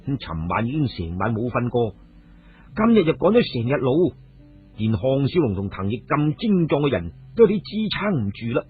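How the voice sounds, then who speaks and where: A man's voice: medium pitch, big pitch swings, moderate pace, breathy edge. A man with a Cantonese accent narrating a dramatised story as a radio storyteller. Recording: muffled phone-like radio audio, music behind.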